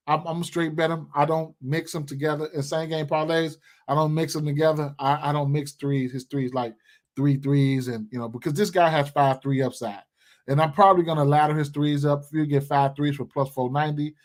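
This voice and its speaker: A young man hosting a livestream, talking casually and loosely with lots of fillers, repeats and slang while reading viewers' comments. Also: fairly high pitch. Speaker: sports betting livestream host. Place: indoor room.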